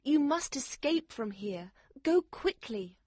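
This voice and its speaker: A man voicing an anxious, lovelorn woman in an audiobook, breathy and nervously urgent. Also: very high voice, very animated, slow pace, darkish tone, no echo, pitched above the performer's own voice, heavily acted. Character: anxious, pale, lovelorn woman warning of danger. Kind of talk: audiobook character voice.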